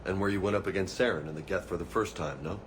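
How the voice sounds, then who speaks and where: A man playing a gruff, cocky soldier squadmate in a video game, talking in a blunt, casual, skeptical way. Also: sits low, fast talker, slight background noise, lightly acted. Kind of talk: video game cutscene acting.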